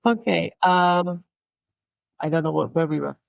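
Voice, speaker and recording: Interview guest, middle-aged woman, Persian accent, Farsi native, lowish voice, talks haltingly over a video call. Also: vocal fry, dry recording, dull audio. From Iran. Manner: halting, searching for words, frequent restarts. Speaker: guest, designer and language coach. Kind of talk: casual interview conversation.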